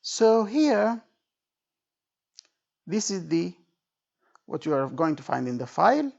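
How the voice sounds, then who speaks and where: A man teaching as an exam tutor in an informal spoken lecture, with a creaky voice, repeating points and often checking that the listener follows. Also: sits high, big pitch swings, slow, a little mellow. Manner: didactic, repetitive, checking understanding with frequent okay and right. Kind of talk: informal spoken lecture with role-played example phrases. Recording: dull lo-fi recording.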